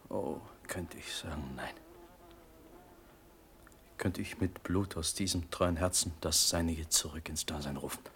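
Ardent young prince, extremely low voice, theatrical verse, devoted, radio play, faint background noise. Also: male voice, fairly even intonation, a little slow, pauses often, fairly bright tone, full character voice. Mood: tender grief. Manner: fervent, theatrical. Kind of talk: classical German radio drama, declaimed verse.

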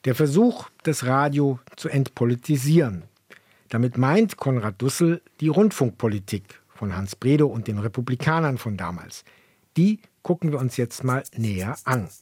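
A man co-hosting a history program, explaining in a measured way. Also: mid-range voice, animated, a little mellow, husky, dry recording. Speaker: co-host of a history podcast. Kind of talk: explanatory radio history narration.

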